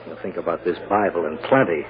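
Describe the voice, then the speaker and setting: A male actor plays a gentle but shrewd defense attorney in a radio drama of the golden age. His voice is low, conversational and reassuring. The recording sounds a little dull and old. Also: quick pace, natural voice. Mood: hushed, shrewd. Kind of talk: old-time radio acting.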